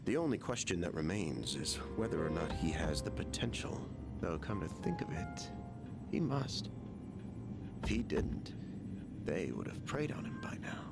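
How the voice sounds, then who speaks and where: A man voicing a genial, enigmatic middle-aged chairman in a video game, with a measured, explanatory manner. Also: sits low, sing-song, gravelly, full character voice. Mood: calm musing. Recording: loud music behind the voice.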